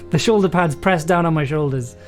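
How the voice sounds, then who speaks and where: A streamer sits at a home desk, thinking aloud while typing out a journaling game entry. The speech is hesitant and often trails off into mutters before the drafted lines are reread aloud. Soft music runs quietly underneath, with keys clicking. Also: medium pitch, normal speed. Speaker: streamer and writer. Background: faint music and keyboard typing.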